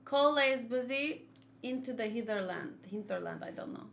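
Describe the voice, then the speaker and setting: A woman's voice: fairly high pitch, moderate pace, a bit bright. A woman livestreaming a video game, chatting casually and thinking aloud as she switches between her viewers and the game. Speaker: game streamer. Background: video game music.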